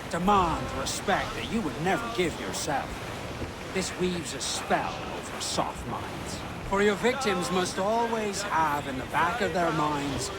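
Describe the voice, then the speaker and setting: Commanding pirate captain, high-pitched voice. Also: male voice, animated, a little slow, over a noisy crowd. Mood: gruff, instructive. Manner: instructive, authoritative, salty seafaring turns of phrase. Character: commanding veteran pirate captain, shrewd, stern mentor. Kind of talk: video game dramatic acting. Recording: loud music bed behind the voice.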